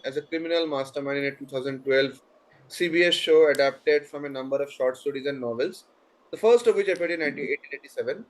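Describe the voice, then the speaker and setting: A quizmaster, his voice a touch higher than most men's. He reads long questions at a steady clip and drops into relaxed, chatty asides with the players. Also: rough recording. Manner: brisk, chatty, breaks into easy laughter between questions. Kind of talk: reading quiz questions with casual banter.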